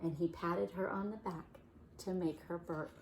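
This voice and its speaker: A woman reading a children's picture book aloud in a soft-spoken, unhurried way. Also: lowish voice, fairly even intonation, background noise. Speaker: children's storyteller.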